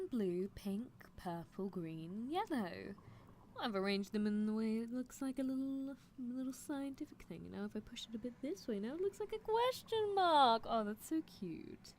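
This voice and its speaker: A woman's voice: medium pitch, slight background noise, close to an everyday voice. A woman playing a playful, bossy British female scientist in an ASMR roleplay, teasing and coaxing with clinical asides. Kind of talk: ASMR roleplay livestream in character.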